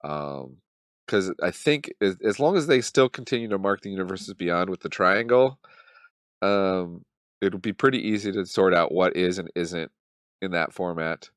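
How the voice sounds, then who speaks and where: Low-voiced man with a cold. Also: normal speed, clean tone, dry recording, clean studio sound. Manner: wry, mock-dramatic, thinks aloud with many ums. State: has a cold. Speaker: channel host. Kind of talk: casual commentary to camera.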